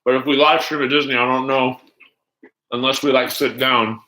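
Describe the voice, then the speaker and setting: A man hosting a livestream in casual chat, rambling haltingly and jumping between asides as he talks to viewers. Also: medium pitch, fairly even intonation, background noise. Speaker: livestream host.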